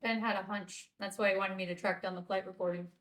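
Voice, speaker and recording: A woman playing a commanding military officer in a table read, crisp and direct. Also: medium pitch, fairly even intonation, fast, fairly bright tone, a little hiss or hum, lightly acted. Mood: reflective. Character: commanding female military officer, now retired.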